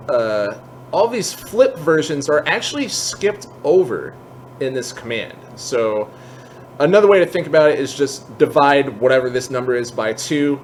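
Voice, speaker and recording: A man giving a casual software tutorial, explaining each step in an informal, candid way as he thinks aloud. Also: medium pitch, a little hiss or hum. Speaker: tutorial host.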